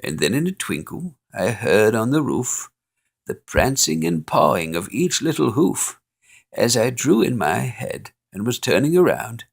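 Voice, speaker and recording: A man reads a holiday poem aloud, playful and expressive. His voice is gravelly and unhurried. His pitch rises and falls in lively swoops. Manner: expressive, playful reading with chuckles. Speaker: storyteller.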